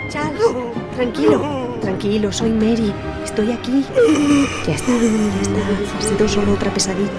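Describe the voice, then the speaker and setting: An actress playing a gentle, reassuring woman who soothes someone in an audio drama. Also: medium pitch, moderate pace, loud music behind the voice, natural voice. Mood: soothing. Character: gentle, soothing woman calming a frightened man.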